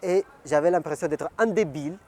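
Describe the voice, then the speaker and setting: Bulgarian-accented man, earnest interviewee, somewhat high voice. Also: brisk, mellow. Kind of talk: documentary interview.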